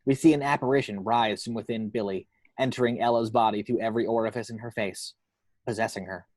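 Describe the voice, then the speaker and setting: A man's voice: on the low side, fairly even intonation, fast, darkish tone, no echo, poor audio. A man reading scripted action descriptions from a screenplay as narrator. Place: at home.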